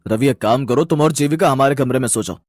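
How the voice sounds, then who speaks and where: A man's voice: mid-range voice, quick pace, darkish tone, no echo, studio quality, voice pushed down, natural voice. A man playing a weary middle-aged father in a horror story, soothing and firm. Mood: reassuring.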